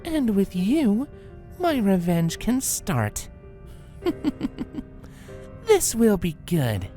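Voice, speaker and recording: A man's voice: high voice, wildly swooping pitch, very slow, mellow, faint music behind, pitched above the performer's own voice, full character voice. A man voicing a vengeful young female cartoon pony in a storybook reading, sarcastic and muttering to herself. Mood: gleeful scheming.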